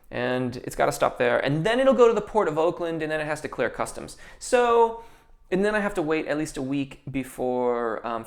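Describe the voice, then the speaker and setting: Man chatting through a live vocal Q&A. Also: a little high. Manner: friendly, reads viewer comments aloud and answers with technical singing terms; restarts sentences, many ums. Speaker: voice teacher hosting a live Q&A. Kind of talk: casual live-stream Q&A.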